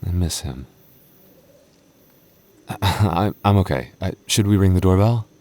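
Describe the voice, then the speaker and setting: Man acting as a deep-voiced, protective goth boyfriend. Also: dark tone. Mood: wistful, then hopeful. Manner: stammering, halting, earnest delivery. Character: young goth boyfriend, protective, playful, easily flustered. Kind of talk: intimate boyfriend ASMR roleplay acting.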